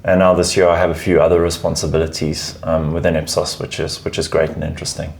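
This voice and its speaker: Low gravelly man. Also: flat delivery, fast talker, a little mellow. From South Africa. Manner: emphatic, repeats words while finding phrasing. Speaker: guest, advertising research lead. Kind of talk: casual interview chat.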